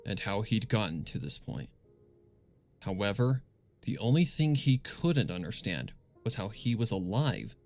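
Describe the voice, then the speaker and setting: A man narrating horror fan fiction in a grave, deliberate, scripted dramatic style. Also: lowish voice. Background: music.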